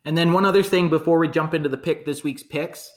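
A man's voice: on the high side, quick pace. A man from Canada chatting casually about football, frank and rambling, swearing freely. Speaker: podcast co-host. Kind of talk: casual sports chat.

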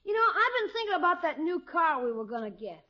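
Brash American boy, high lively voice, slangy, good-hearted, 1950s radio comedy. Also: fast talker. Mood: earnest, generous. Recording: muffled old radio broadcast.

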